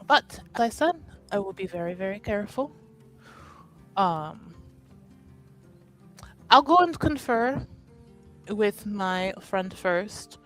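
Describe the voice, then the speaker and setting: A woman acts out a young fantasy noblewoman at a game table. She is prim and a little snobbish, yet earnest and polite, and she rambles chattily at a middling pitch over background music. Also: expressive, slow pace, long pauses. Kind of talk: improvised in-character tabletop roleplay.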